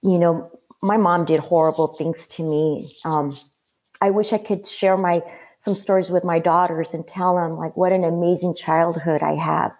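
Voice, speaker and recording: A woman from Mexico telling her life story as an interview guest, earnest and halting, with many fillers. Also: fairly low pitch, fairly even intonation, a little mellow, poor audio.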